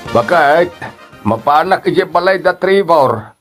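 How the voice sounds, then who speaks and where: A man with a Filipino accent playing a gentle, fretting elderly parent in an audio drama. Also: fairly high pitch, expressive, slow pace, music in the background. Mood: alarmed.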